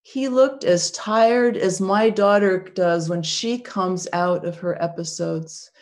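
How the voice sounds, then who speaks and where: A woman playing a defiant single mother. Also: mid-range voice. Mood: resolute. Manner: earnest, deliberate, emotionally raw. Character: proud foreign single mother, stung yet defiant. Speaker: actor in a biblical monologue. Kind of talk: dramatic first-person monologue.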